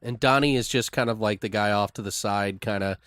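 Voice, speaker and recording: A man's voice: fairly low pitch, fairly quick, fairly bright tone, no echo. A man co-hosting, casually brainstorming a made-up story in a loose, rambling, profane way. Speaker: podcast co-host.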